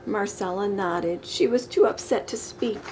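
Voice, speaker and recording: A middle-aged woman reading a children's picture book aloud with expressive, emphasized storybook delivery. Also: mid-range voice, unhurried, rough recording. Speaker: storytime reader. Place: living room, indoors. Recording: clear background room noise.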